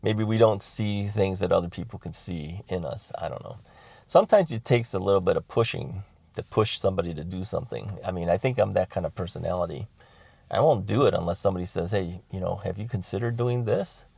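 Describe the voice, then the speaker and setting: A middle-aged man talks casually to his viewers in a deep, gravelly voice. He rambles, restarts sentences and chuckles between thoughts, all recorded dry and close. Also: a little fast, a little mellow, no room sound. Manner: rambling, many fillers and restarts, chuckles often. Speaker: vlogger. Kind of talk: casual talk to camera.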